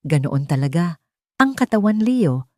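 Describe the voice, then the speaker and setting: A woman voicing a gentle, affectionate elderly woman in an audiobook, speaking in a calm, reassuring manner. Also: on the low side, measured pace, no echo, clean studio sound.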